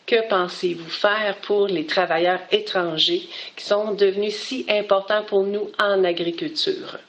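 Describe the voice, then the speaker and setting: A woman from Quebec asks an earnest, prepared question in a recorded video message. The sound is dull and lo-fi, missing its high end. Her pitch sits in an ordinary middle range. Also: steady tone, a little slow. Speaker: citizen asking a question.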